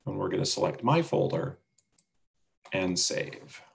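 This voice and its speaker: A man leads a casual software demonstration over a video call. His voice is on the low side with noticeable vocal fry. He explains each step in a plain, instructional way. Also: unhurried. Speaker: webinar presenter demonstrating a website.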